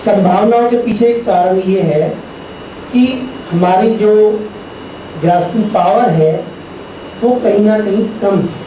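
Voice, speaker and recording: A middle-aged man giving a spiritual lecture to a class, teaching deliberately and posing rhetorical questions. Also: sits high. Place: large echoey classroom. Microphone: distant room mic. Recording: very echoey, distant, noisy room recording.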